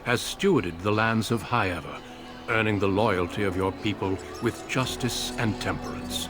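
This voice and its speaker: Man as solemn narrator, low, deliberate, clear music bed. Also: natural voice. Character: solemn male game narrator. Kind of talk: scripted narration.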